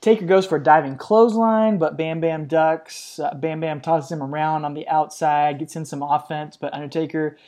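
A man's voice: a little high, steady tone, moderate pace, few pauses, darkish tone. A man co-hosting a podcast, casually recapping old matches with light laughs. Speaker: podcast co-host.

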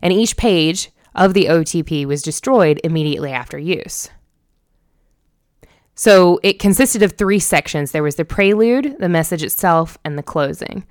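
An American woman hosting a podcast, moving between measured scripted narration and casual banter. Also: deep voice, dry recording, clean studio sound. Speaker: podcast host.

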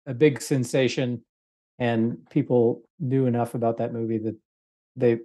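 A man's voice: medium pitch, fairly even intonation, a little slow, warm tone. A middle-aged man on a podcast panel, reasoning aloud casually with a jokey bent for wordplay. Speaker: podcast panelist, quiz contestant.

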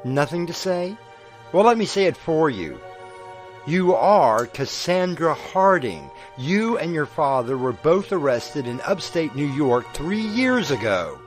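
An attorney with a lively, swinging voice, pressing hard and tripping over his words. Faint music sits beneath him in this radio horror play. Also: on the high side, measured pace. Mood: accusing. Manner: probing, then agitated and stammering. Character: confrontational male attorney, growing panicked.

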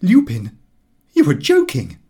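Storybook role: pompous middle-aged clerk male reader acting, earnest, easily shocked, husky voice, very expressive intonation. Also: a little high, unhurried, pitched above the performer's own voice, exaggerated voice. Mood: disapproving.

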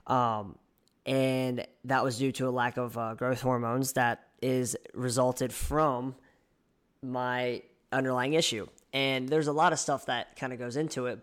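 A young man with a somewhat nasal voice hosts a solo podcast, speaking at a mid pitch. He talks in an earnest, chatty way and often trails off into ums before starting over. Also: fairly bright tone, clean tone. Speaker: podcast host and online fitness coach. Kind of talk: casual solo podcast monologue.

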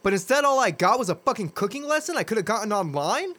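A man's voice: high voice, big pitch swings, fast talker, bright, forceful tone, exaggerated voice. An actor playing an exasperated, crude young man who swears a lot in a comedy audio drama.

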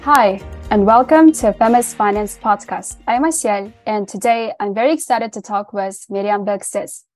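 A woman's voice: mid-range voice, moderate pace, hardly any pauses, a little mellow, no room sound, faint music behind. A woman hosting a podcast, reading guest introductions and asking questions in a polite, upbeat way. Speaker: podcast host. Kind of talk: scripted intro and interview questions.

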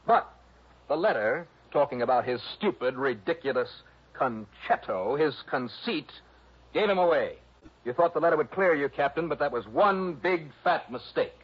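An actor playing a no-nonsense, wry insurance investigator in a 1960s radio detective drama, speaking in a brusque, insistent way. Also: male voice, a little high, expressive, normal speed, breathy edge. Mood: accusing. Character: brisk, wry, hard-nosed male insurance investigator. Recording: muffled vintage radio recording.

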